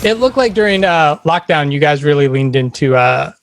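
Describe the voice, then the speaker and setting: A man's voice: fairly high pitch, animated, moderate pace, faint music behind. A man hosting a podcast, asking interview questions in an informal, slightly hesitant conversational way.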